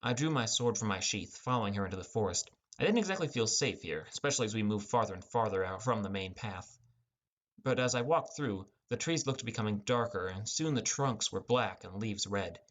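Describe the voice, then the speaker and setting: A young man narrates a fantasy adventure in the first person. His voice sits on the low side, and he reads scripted prose as an audiobook storyteller. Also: expressive, fairly quick, a bit bright.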